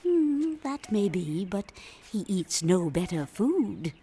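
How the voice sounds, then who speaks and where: Woman as humble cottage hostess, gentle yet firm, animated intonation, audio drama, faint background noise. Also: medium pitch, takes it slowly. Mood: brisk, kindly. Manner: gentle, patient, motherly.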